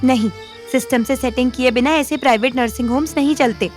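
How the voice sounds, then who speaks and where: A woman's voice: sits high, brisk, lightly acted. An actress playing a bold, streetwise young woman in an audio drama, with a bossy, sardonic, teasing manner. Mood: scornful. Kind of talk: Hindi audio drama acting. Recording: music under the voice. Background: background music.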